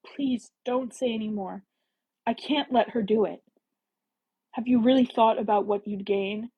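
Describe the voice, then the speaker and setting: A proud young Regency heroine, acted by a woman narrator, speaks in a high, slightly husky voice. She is forceful, indignant and openly emotional, as in a romance audiobook. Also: measured pace, dull audio. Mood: pleading, insistent.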